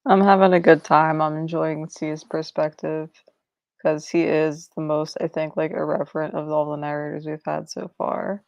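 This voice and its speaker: A woman's voice: deep voice, flat delivery, normal speed, vocal fry, darkish tone, dry recording. A woman chatting casually about books as a readalong co-host, on dull stream audio. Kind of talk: casual book discussion.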